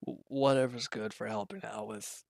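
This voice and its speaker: A man playing an injured, weary villain in a roleplay audio drama, guarded and quietly considerate, speaking in halting, hesitant lines. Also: fairly even intonation, creaky, no echo, studio quality. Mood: weary, reluctant. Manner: hesitant, halting, low-key, grudging. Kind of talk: roleplay audio drama, intimate one-sided dialogue.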